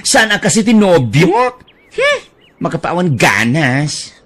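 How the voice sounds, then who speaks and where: An excitable young Filipina gossip in a radio drama, played by a woman. She gushes and exclaims with envy, her pitch leaping widely as she stretches out her words. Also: a little slow. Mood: complaining. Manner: gushing, exclamatory.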